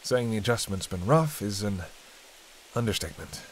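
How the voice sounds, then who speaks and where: A man's voice: lowish voice, moderate pace. A man playing a hungry, cranky vampire in a roleplay audio drama, bantering sardonically and intimately with a listener cast as a hunter. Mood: wry, confiding. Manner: sardonic, teasing, dry banter. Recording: steady rain and hiss behind.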